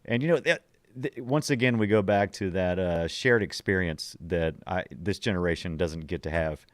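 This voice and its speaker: Middle-aged man, low pitch, dry sound, podcast host, casual jokey rambling. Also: moderate pace, no echo. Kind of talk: casual nostalgic chat.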